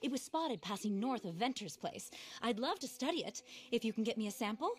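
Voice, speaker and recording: An actress playing an eccentric, passionate naturalist in a video game, with a well-spoken, theatrical, earnest delivery. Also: female voice, on the high side, expressive, fairly quick, close to an everyday voice. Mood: concerned, fascinated. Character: eccentric, passionate female frontier naturalist, indignant animal lover. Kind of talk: video game cutscene acting.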